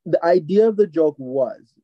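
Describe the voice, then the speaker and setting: A man with a Jamaican accent in casual chat, retelling his reactions in an animated way, on a slightly lo-fi recording. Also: a little high, normal speed, no echo.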